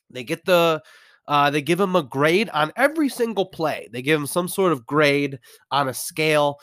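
A man with a slightly high voice and a stuffy nose from a cold rants through a football recap. He stumbles over his words and restarts often, but he never lets go of his opinions. His voice is close and dry, with no room sound around it. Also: a bit bright, no room sound. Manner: opinionated, rambling, frequent restarts. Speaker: sports commentator. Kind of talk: ranting sports recap.

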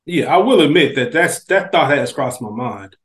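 American man, mid-pitched, casual and slangy. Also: animated, moderate pace, fairly bright tone. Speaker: podcast co-host. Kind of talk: casual podcast chat.

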